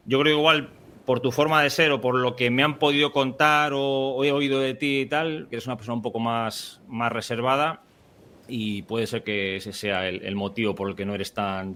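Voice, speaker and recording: A man's voice: mid-range voice, pushed and bright. A male podcast host chats in a loose, rambling way. He restarts his sentences often, fills gaps with "eh" and breaks into laughter here and there. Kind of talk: casual conversational interview.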